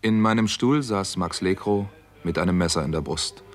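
A man acting a sober doctor who narrates his own murder case. His voice is very low and his telling is measured and dramatic, in the manner of a 1960s German radio thriller. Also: heavy background noise, lightly acted. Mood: grave, tense. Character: American doctor Steve Mason, sober crime-story narrator. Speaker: first-person narrator and lead actor.

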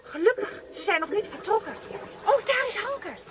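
An actress playing a plucky, good-hearted young girl with an excitable manner in a children's radio play. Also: very high-pitched, normal speed, heavy background noise. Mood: earnest. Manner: excitable, exclaiming.

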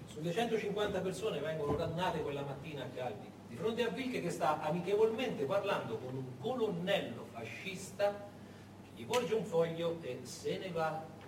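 A man's voice: on the high side, animated, normal speed. A man narrating as a stage actor, in a dramatic, emphatic and suspenseful storytelling style. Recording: echoey hall, distant mic, clear background noise.

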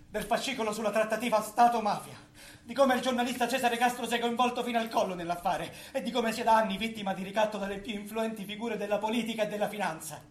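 Male actor, grandiose bitter know-it-all, high declamatory stage delivery, echoey room. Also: close to an everyday voice. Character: megalomaniac, bitter man who claims to know every secret. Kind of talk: theatrical stage monologue. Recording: echoey stage recording with background noise.

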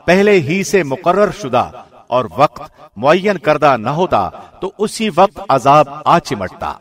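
A man reading a scripture translation from a script in a measured, formal announcer's delivery. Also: on the high side, sing-song. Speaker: translation reader.

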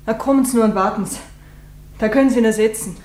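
Woman acting a high-voiced chatty housemaid. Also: fast talker, darkish tone, close to an everyday voice. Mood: matter-of-fact. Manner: colloquial, clipped sentences. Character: plain-spoken doctor's housemaid, chatty and obliging. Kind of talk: German radio drama acting. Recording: roomy, noisy with clattering dishes.

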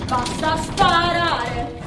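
A woman playing a bold camp-follower trader, singing theatrically in long drawn-out phrases. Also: high-pitched. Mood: bold, hawking. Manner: drawn-out, declamatory. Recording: echoey room from far off, music.

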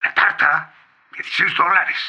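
An actor playing a curt, impatient baker on the phone in a radio drama, insistent and accusing. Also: male voice, a little high, fairly even intonation, normal speed, pushed and bright, husky, heavily acted. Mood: curt insistence. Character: curt, impatient male baker phoning about an uncollected cake. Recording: dull, lo-fi telephone-style sound.

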